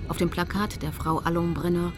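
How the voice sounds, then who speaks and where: A woman's voice: fairly low pitch, fairly even intonation, brisk, lightly acted. An actress playing a determined female activist, recounting her campaign in composed, precise first-person narration for a radio play. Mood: composed narrating. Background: music.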